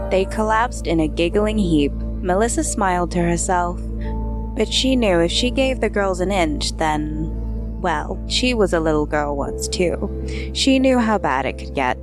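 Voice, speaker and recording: A woman reading a scripted audio drama as narrator, measured and storytelling. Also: on the low side. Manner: measured storytelling, shifting into character voices. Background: background music.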